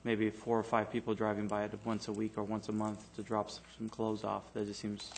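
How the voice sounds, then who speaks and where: A man's voice: on the low side, monotone, brisk, creaky, a little hiss or hum. A man on a city council arguing his point in a meeting, hesitant and plainspoken, often repeating words, in a council chamber.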